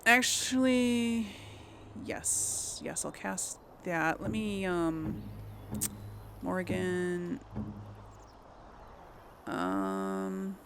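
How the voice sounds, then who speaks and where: A woman's voice: on the low side, lively intonation, very slow, creaky, long pauses, fairly bright tone, a little hiss or hum. A woman giving casual gaming commentary, thinking aloud in a hesitant, musing way and often trailing off. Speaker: let's player hosting a role-play playthrough.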